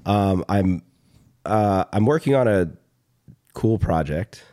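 A young man with a slightly hoarse voice chatting casually as a podcast host, rambling and halting, with some casual swearing. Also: fairly low pitch, expressive, dark tone. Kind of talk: casual chat.

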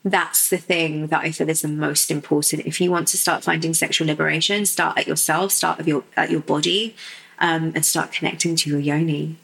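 A woman who works as a sexuality coach, explaining softly and unhurriedly as a guest in a podcast interview, with many fillers. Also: sits low, steady tone, moderate pace, creaky, breathy edge, poor audio.

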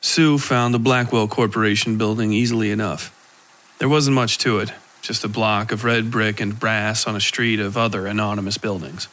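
A man giving a scripted narration of a dark horror tale for a fiction podcast. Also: fairly low pitch, fairly even intonation, moderate pace, low-quality recording. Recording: clear music behind the voice.

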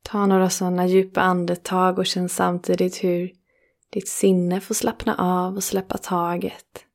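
A woman leading a guided relaxation meditation, speaking slowly and soothingly with long pauses between phrases. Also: on the low side, flat delivery, creaky.

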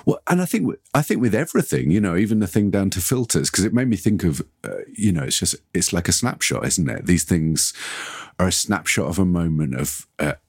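Low-voiced man, very dry sound. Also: lively intonation, a little fast, husky, no room sound. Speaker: podcast co-host. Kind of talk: casual discussion.

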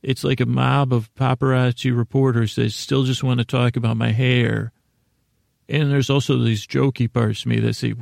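A man's voice: medium pitch, vocal fry, darkish tone, no room sound, studio quality. A middle-aged American man hosting a bedtime podcast, rambling in a meandering, self-deprecating way, often stammering and interrupting himself. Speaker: podcast host.

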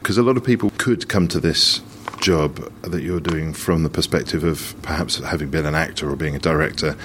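A man presenting an on-location interview, speaking in a measured, wryly playful way. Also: a bass voice, a bit bright, a little hiss or hum. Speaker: radio presenter.

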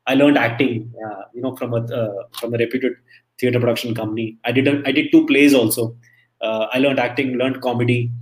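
A man from India speaks as an interview guest, rattling off stories at a fast clip. His talk is chatty and loose, full of restarts. He sounds middle-aged. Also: mid-range voice, poor audio. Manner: chatty, storytelling, many restarts. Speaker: interview guest, emcee and entertainer.

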